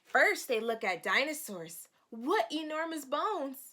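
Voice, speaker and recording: A woman teacher reading a picture book aloud to young children, expressive, explaining simply and asking questions. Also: sits high, a little slow.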